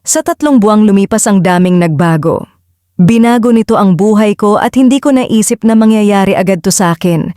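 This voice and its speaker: A woman narrates a first-person audio drama from a script. Her voice sits at an average pitch for a woman, and the recording is close and dry, without room sound. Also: warm tone, no room sound. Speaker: narrator and lead voice actor.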